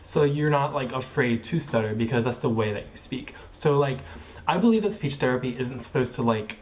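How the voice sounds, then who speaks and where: Young man who stutters, halting and filler-heavy. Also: mid-range voice, expressive, a little mellow, slight background noise. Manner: stutters with repeated sounds and blocks; filler-heavy, halting phrasing with many likes and ums. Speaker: vlogger. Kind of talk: casual personal vlog monologue.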